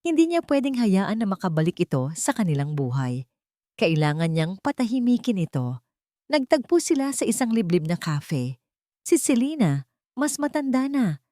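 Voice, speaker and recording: A woman's voice: mid-range voice, sing-song, moderate pace, dark tone, no room sound, clean studio sound. A woman reading scripted dramatic narration as a storyteller.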